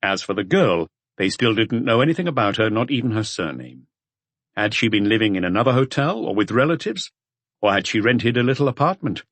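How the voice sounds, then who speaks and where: A man's voice: lowish voice, big pitch swings, brisk, fairly bright tone, no echo. A man narrating a mystery audiobook from a script.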